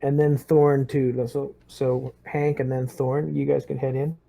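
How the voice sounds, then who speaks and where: A man's voice: mid-range voice, flat delivery, a little slow, vocal fry, mellow. A man chatting casually as a tabletop game player, explaining and organizing things.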